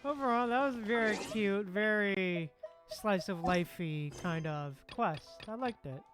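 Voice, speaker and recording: A woman's voice: mid-range voice, a little slow. A woman streamer giving casual, laid-back reaction commentary over a game. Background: game music.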